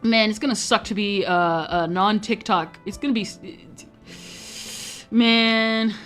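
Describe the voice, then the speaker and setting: A woman's voice: mid-range voice, fairly even intonation, measured pace, fairly bright tone, faint music behind. A young woman streamer chatting casually, rambling, self-interrupting and often giggling. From United States. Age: young adult.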